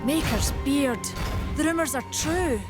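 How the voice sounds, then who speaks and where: A bold maker of the forge for a video game. Her voice is on the high side, hearty and forthright, with clear music underneath. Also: measured pace. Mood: impressed, forthright. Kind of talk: dramatic video game acting.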